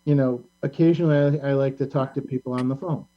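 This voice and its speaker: Casual discussion host, halting and rambling, mid-pitched, heard through muffled lo-fi call audio. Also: steady tone. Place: remote video call.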